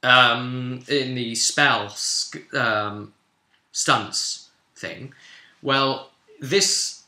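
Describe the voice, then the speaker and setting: A man's voice: mid-range voice, drawn-out words, pushed and bright. A British man recapping a tabletop role-playing session as game master, telling the story informally and explaining things with frequent asides. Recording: echoey room.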